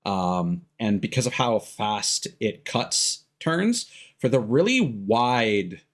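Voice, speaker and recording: A man's voice: fairly low pitch, sing-song, slow. A man giving casual, rambling, sweary commentary as he streams a video game. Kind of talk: casual gaming livestream commentary. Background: game music.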